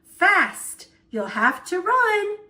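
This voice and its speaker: A woman's voice: very high voice, very animated, very slow, sharp tone, voice pushed up, exaggerated voice. A woman voicing an eager man on a bicycle in a picture-book read-aloud, emphatic and urging. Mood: urgent excitement.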